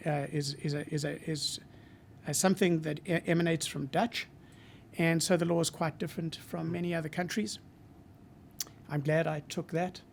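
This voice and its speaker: A man in his sixties from Zimbabwe with a Zimbabwean accent, a marketing professor speaking as a guest in a podcast interview, reflective and measured with frequent hesitations. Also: fairly high pitch, gravelly, slight background noise.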